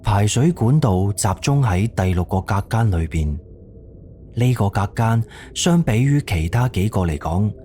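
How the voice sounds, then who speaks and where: Low-voiced male suspense narrator. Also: lively intonation, a little slow, dark tone, slight background noise. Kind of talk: scripted suspense story narration. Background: background music.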